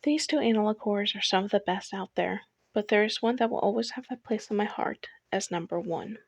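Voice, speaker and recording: Young female video essayist, mid-pitched with noticeable vocal fry, reading a personal script in a dry space. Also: fairly quick, no echo.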